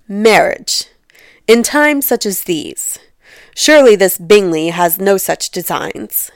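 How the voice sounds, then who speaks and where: A woman voicing a sardonic, dismissive middle-aged gentleman with a stern, pompous manner in a comic storybook reading. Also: medium pitch, animated, slow, dry recording. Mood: irritated, dismissive.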